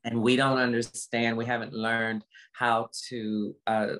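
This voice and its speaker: A woman with a low voice talks reflectively and emphatically as an interview guest on a video call, repeating phrases for effect. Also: level tone, a little slow, fairly bright tone. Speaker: interview guest, motivational speaker. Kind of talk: reflective personal talk in an interview.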